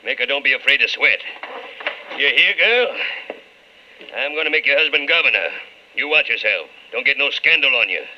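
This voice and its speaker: An actor playing a gruff, curt, commanding country governor with folksy speech, in old-time radio drama style. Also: male voice, mid-range voice, lively intonation, moderate pace, long pauses, lightly acted. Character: gruff, bossy, plain-spoken country state governor. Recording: dull, hissy old radio recording.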